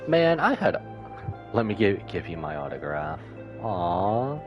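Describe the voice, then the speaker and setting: A man's voice: low voice, very animated, slow, muffled recording, over music. A man giving casual, slangy live reaction commentary as a game streamer. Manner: slangy, informal.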